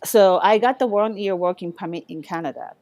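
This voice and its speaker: Soft-spoken woman, halting speech. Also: mid-range voice, dry recording, poor audio. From Japan. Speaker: chef, guest. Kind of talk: casual interview chat.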